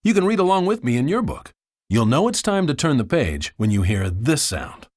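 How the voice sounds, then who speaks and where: A man's voice: mid-range voice, big pitch swings, moderate pace, dry recording, studio quality. A man reading a scripted children's read-along storybook as its narrator. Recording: music bed behind the voice. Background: background music.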